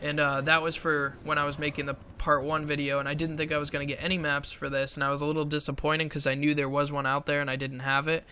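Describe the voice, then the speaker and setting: A man giving casual commentary over gameplay footage, halting with many fillers, clearing his throat often, his voice strained from talking so long. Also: on the high side, flat delivery, sharp tone, a little hiss or hum. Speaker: gaming video creator.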